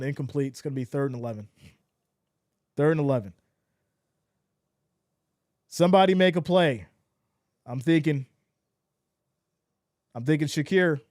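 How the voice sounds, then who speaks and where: A man doing live fan play-by-play of a football game, excitable and losing his voice, swinging from shouts to deflated mutters. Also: fairly high pitch, no echo, studio quality.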